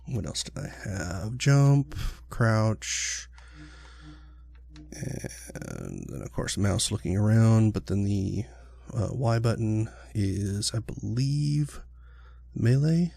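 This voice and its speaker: Gravelly-voiced man, getting over a cold. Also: on the low side, drawn-out words, pauses often, no echo. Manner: rambling, filler-heavy, relaxed. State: recovering from illness, sniffly, clearing his throat. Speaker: gaming channel host. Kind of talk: casual let's-play commentary.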